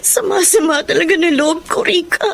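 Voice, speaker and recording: A woman's voice: extremely high pitch, normal speed, slight background noise. A woman acting an exasperated, strict eldest sister of about thirty who supports her family in a Filipino melodrama, emphatic and scolding. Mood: hurt, tearful. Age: 30s.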